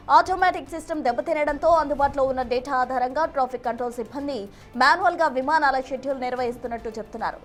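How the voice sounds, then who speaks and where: A woman presenting breaking news as an anchor, with music behind her. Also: high-pitched. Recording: music bed under the voice.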